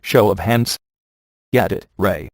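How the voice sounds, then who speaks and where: A sarcastic, laid-back ghost hunter from a video game. His voice sits low and is recorded close and dry. He talks in glib wisecracks with a sardonic edge. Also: takes it slowly, dry recording. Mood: dry sarcasm. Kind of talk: scripted video game character dialogue.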